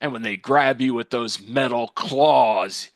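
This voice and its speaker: A man playing an earnest older TV actor as a spokesman, reading a mock commercial with grave, deliberate emphasis. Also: no echo, studio quality, natural voice. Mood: grave, ominous. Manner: grave, deliberate sales pitch with dramatic emphasis. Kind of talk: mock television commercial read.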